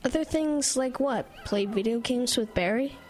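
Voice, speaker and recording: A woman's voice: a little high, normal speed, darkish tone, close to an everyday voice. An actress voicing an eager young boy who loves horror films and sounds whiny and earnest. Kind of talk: audio drama acting.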